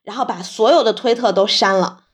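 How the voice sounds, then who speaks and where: A woman's voice: mid-range voice, a little fast. A woman hosting a podcast, explaining things in a casual, chatty storytelling way. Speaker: podcast host.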